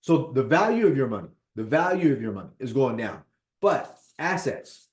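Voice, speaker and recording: A man of fifty talking casually about personal finance as an investor, animated, repeating points and checking in with "right?". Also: mid-range voice, normal speed. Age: 50s. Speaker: real estate investor.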